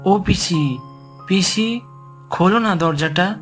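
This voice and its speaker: A man voicing a gentle, distressed teenage village boy with a pleading, bewildered manner in an animated horror story. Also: high voice, takes it slowly, faint music behind, voice pushed up. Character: gentle, distressed motherless eighteen-year-old village boy.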